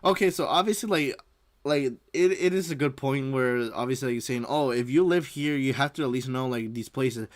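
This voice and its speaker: A man's voice: mid-range voice, brisk. A man from the United States giving casual, rambling reaction commentary as a streamer, leaning heavily on filler words.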